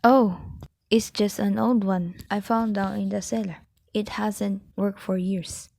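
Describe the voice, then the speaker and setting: A woman's voice: mid-range voice, steady tone, a little slow, creaky, warm tone. A woman playing a busy mother, placating but firm, in an amateur radio play. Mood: preoccupied.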